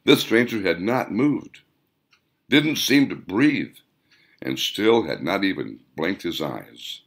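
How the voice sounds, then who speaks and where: A man reading a scripted story aloud as an audiobook narrator, with deliberate, dramatic emphasis. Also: deep voice, sing-song. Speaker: author narrating own audiobook.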